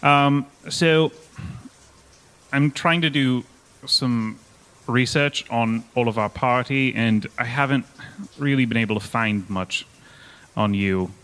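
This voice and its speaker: A man playing a formal, analytical investigator, wordy and measured, with an awkwardly delicate manner. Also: medium pitch, fairly bright tone, clear, resonant tone.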